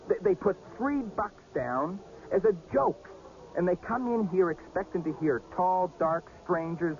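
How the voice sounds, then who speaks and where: A muffled radio-play recording of an exasperated carnival hustler. His high voice jumps around animatedly as he nags, blunt and skeptical. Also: darkish tone. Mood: scornful, urgent. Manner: blunt, nagging, agitated. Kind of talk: 1980s radio drama acting. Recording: muffled old radio broadcast.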